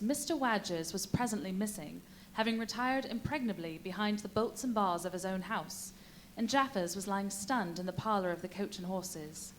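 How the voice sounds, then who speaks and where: A woman narrating a live radio play as a composed, wry storyteller with measured, formal delivery. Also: medium pitch, lightly acted. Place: live performance at a brewery venue. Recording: live stage recording, light noise.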